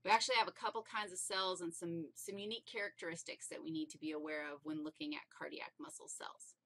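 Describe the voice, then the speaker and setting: A woman's voice: lowish voice, fairly even intonation, quick pace, a bit bright, a little hiss or hum. A woman teaching anatomy in an informal, playful and emphatic way, explaining things step by step.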